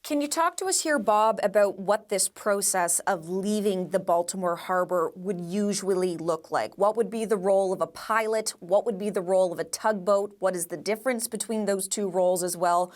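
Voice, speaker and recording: A woman's voice: mid-range voice, normal speed, few pauses. A woman working as a news anchor, putting questions to a guest in a broadcast interview.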